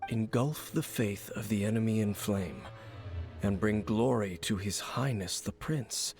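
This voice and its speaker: A man playing a grave, stoic warrior guide in a video game, speaking in a solemn, formal, measured manner. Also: on the low side, over music. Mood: pondering, solemn. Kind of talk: video game character acting.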